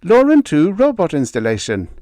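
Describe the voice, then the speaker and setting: A man's voice: on the high side, wildly swooping pitch, moderate pace, warm tone, dry recording, pitched above the performer's own voice. A man voicing an indignant, bossy senior colonial officer in an audiobook, blustering and officious as he makes demands. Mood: indignant, demanding. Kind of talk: audiobook character dialogue.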